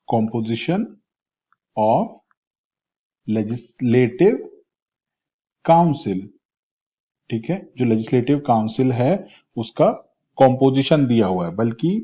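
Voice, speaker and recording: A male exam coach with an Indian accent runs through quiz questions, restating them in two languages. His voice is rough and a little hoarse, at a medium pitch. The audio is muffled and lo-fi. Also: lively intonation, slow pace, pauses often. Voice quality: husky. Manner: repeats questions in Hindi and English. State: slightly hoarse, sore throat. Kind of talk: quiz-style current affairs lecture. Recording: dull, lo-fi lecture audio.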